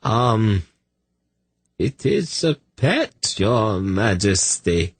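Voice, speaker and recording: A man's voice: fairly low pitch, steady tone, extremely slow, dry recording, pitched above the performer's own voice. A man voicing a nervous, fawning snake keeper in an audiobook, pleading, apologetic and coaxing in manner. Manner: wheedling, apologetic, deferential.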